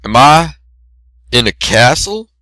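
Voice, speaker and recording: A big farm stallion at his wits' end, played by a man in a story reading. He sounds exasperated and pleading, in a bright, forceful voice with a dry recording. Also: medium pitch, big pitch swings, slow, no room sound, pitched above the performer's own voice, full character voice. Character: flustered, grumbling big male farm stallion.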